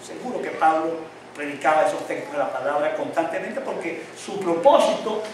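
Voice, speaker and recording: Male preacher, higher than most, call-and-response style, slight tongue-tie; echoey church hall, mic at a distance. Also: lively intonation, moderate pace, a little hiss or hum. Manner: call-and-response questions to the congregation; says he has a tongue-tie that tangles his speech. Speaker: pastor. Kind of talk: sermon.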